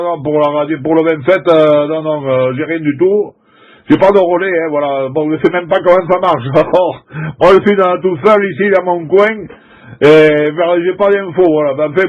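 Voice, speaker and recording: A middle-aged man from France talks as a CB operator in a somewhat high-pitched voice. His chat is casual and colloquial, halting and circling back over the same phrases. The sound is a little dull and lo-fi. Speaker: amateur CB radio operator. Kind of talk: casual CB radio contact chat.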